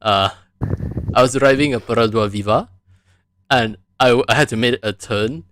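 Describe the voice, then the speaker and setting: A man's voice: mid-range voice, normal speed, fairly bright tone, slight background noise. A man from the United States chatting casually as the host of a live stream podcast.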